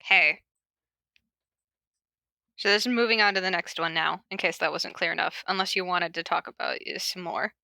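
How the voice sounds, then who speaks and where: A woman's voice: on the low side, moderate pace, gravelly, long pauses, pushed and bright, dry recording, studio quality. A woman hosting a podcast in a casual, giggly, self-mocking and informal way, introducing readings. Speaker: podcast host introducing fan fiction readings. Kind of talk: casual chat with read-out summaries.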